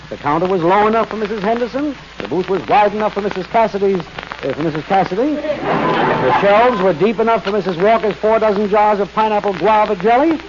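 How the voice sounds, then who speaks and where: An American man acting a put-upon, sardonic neighbor in an old-time radio sitcom, wry and long-suffering, on a noisy, muffled recording. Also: high voice.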